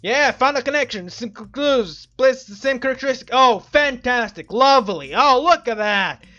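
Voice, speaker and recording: A woman's voice: on the high side, lively intonation, moderate pace, sharp tone. A woman giving casual let's play commentary in an exasperated, sarcastic, sweary manner, on slightly muffled audio. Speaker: gaming video host.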